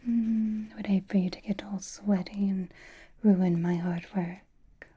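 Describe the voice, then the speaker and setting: A woman playing a centuries-old aristocratic vampire lady in a whispered ASMR roleplay, doting, possessive and softly teasing, with refined manners and a breathy voice. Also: medium pitch, fairly even intonation, unhurried, dull audio.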